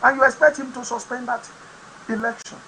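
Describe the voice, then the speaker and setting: Forceful Nigerian man preaching politics. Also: very high voice, steady tone, fairly quick, slight background noise, poor audio. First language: Yoruba. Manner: emphatic, preaching tone with sudden loud bursts. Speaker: host of an online talk program. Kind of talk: impassioned political commentary to camera.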